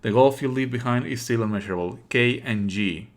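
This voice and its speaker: 비꼬는 말투의 남자 게임 스트리머. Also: male voice, mid-range voice, fairly quick. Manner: sarcastic, exasperated asides. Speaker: streamer playing a visual novel. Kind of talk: live let's play, reading text aloud with commentary.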